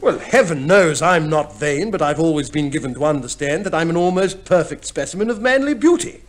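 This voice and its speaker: An actor playing a vain, pompous, cheerful husband back from war in a 1950s radio comedy, speaking with theatrical mock courtesy. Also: male voice, fairly high pitch, animated, brisk, lightly acted. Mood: smugly boastful. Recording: noisy vintage radio play recording.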